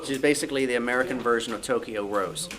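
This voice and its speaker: A man's voice: mid-range voice, fast, rough recording. A man giving an informal explanation at a club meeting. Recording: crowd sound in meeting room.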